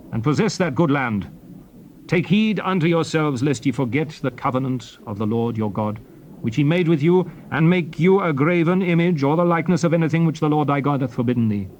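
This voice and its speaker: A man's voice: fairly high pitch, a little mellow, natural voice. An actor playing a solemn prophet and leader exhorting his people, declaiming archaic scripture in a formal, classical stage style. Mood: stern, warning. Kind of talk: dramatized scripture reading, classical stage delivery. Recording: noisy old cassette tape recording.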